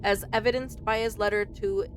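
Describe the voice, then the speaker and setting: A woman's voice: mid-range voice, fairly even intonation, fairly quick, slight background noise. A woman hosting a let's play, casually commenting, reading aloud and reacting expressively to the game. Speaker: streamer, let's play host. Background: faint game music.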